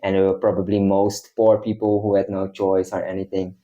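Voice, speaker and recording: A man's voice: low voice, level tone, brisk, dark tone, highs cut off. A young man from the Netherlands, a guest in casual chat, explaining at length with many hesitations.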